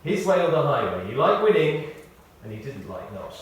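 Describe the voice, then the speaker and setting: A man reading a prepared tribute aloud in short, measured phrases, with wry storytelling. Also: mid-range voice, sing-song. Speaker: tribute speaker. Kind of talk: read-out memorial tribute with anecdotes. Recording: echoey hall, distant, faint noise.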